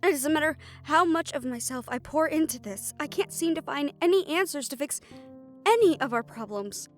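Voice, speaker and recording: A woman playing an overworked, sharp-tongued but caring siren-banshee sorceress, venting emotionally in a dramatic audio roleplay. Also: sits high, animated, fairly quick, faint music behind. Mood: weary, frustrated. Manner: emotional venting, sarcastic and sweary, with heavy sighs.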